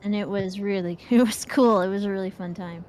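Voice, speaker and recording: A woman's voice: medium pitch, fairly even intonation, a little fast, darkish tone. A woman co-hosting a gaming show, chatting casually in a playful, rambling way while she plays. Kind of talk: casual chat while playing an online game.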